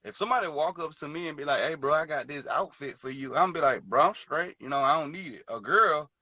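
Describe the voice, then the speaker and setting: A man's voice: a little high, quick pace, sharp tone, dry recording, highs cut off. A man chatting casually as an interview guest over a video call, laid-back and slangy, with many fillers. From Detroit and Georgia, born in Hawaii. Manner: laid-back, slangy, many fillers and "you know what I'm saying". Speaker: guest musician.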